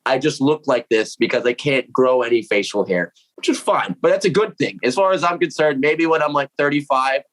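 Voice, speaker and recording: A man's voice: mid-range voice, lively intonation, fairly quick, fairly bright tone, rough recording. A man in his late twenties, from Puerto Rico, chatting casually and animatedly at home, full of slang and mild swearing, acting out his own reactions. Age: 20s. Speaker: metal vocalist interviewee. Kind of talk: casual chat to camera. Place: home room with cats.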